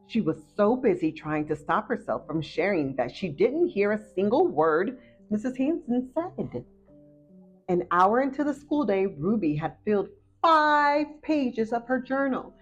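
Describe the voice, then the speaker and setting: A woman reading a children's picture book aloud as a storyteller, with expressive, excited asides to her young listeners. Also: medium pitch. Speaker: read-aloud storyteller and host.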